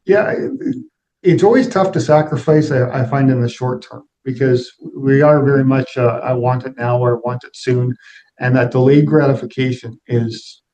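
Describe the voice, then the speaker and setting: A man's voice: mid-range voice, moderate pace, mellow, low-quality recording. A middle-aged man answering interview questions in a reflective, plain-spoken, conversational way. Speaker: interview guest, aviation executive.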